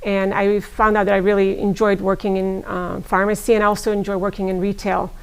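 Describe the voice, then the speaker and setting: A middle-aged woman from Serbia, whose first language is Serbian, answers questions at an average pitch with a gravelly edge. She speaks in a measured, thoughtful way and pauses often to gather her words. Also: flat delivery, rough recording. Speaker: guest, pharmacist. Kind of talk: casual interview answers.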